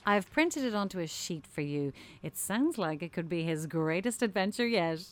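A woman playing a brisk, reassuring studio producer in an audio drama. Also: lowish voice, animated, close to an everyday voice. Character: brisk, placating female radio producer. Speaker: radio producer character. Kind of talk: naturalistic audio drama acting.

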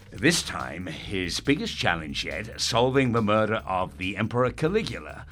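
A sardonic male radio presenter in a dark comedy drama. His voice is bright and forceful and swings widely in pitch, with a theatrical, condescending edge. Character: pompous, sarcastic male radio presenter in a dystopia. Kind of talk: darkly comic audio drama acting.

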